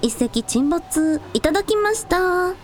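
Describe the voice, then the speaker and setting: A woman's voice: extremely high pitch, expressive, unhurried, very noisy. A woman playing a spirited, protective young idol in a radio drama, with a girlish, informal way of talking. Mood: excited, wry.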